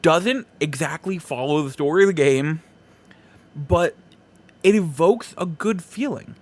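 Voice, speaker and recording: A man's voice: on the high side, unhurried. A man hosting a podcast solo, giving opinions in a casual, conversational and emphatic way. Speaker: podcast host.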